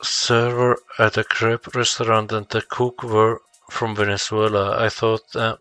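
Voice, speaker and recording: A man's voice: lowish voice, monotone, measured pace, pushed and bright, dry recording. A man chatting casually and telling anecdotes in a laid-back way, in short broken phrases.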